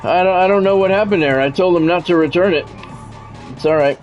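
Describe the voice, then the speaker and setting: A man's voice: sits high, fairly quick, darkish tone, dull audio, faint music behind. A man doing sports play-by-play of a video game on a stream, mixed with casual chat with viewers.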